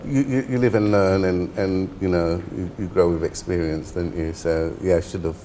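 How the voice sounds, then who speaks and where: A middle-aged man, an author, talks in a very deep voice and stumbles over his words, repeating them as he feels his way through an answer. A low rumble and faint noise sit under the studio recording. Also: steady tone, normal speed, warm tone. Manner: hesitant, repeats words before finishing. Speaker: author, interview guest. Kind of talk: casual interview answers.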